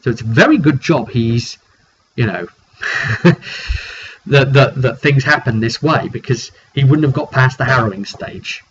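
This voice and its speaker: A British man recaps a tabletop roleplay in a casual storytelling style. His mid-pitched voice moves along in a rambling, halting way, pausing partway through sentences. The sound is dull and lo-fi, with slight noise behind him. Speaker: tabletop roleplay game narrator recapping a session. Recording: dull lo-fi recording with background noise.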